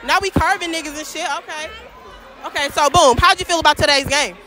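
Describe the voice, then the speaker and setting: A woman's voice: sits high, fairly quick, a crowd in the background. A young woman vlogger interviewing fans in a crowded school gym, hyped and playful, echoing answers back, on a phone held at arm's length. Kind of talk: casual street-style interviews.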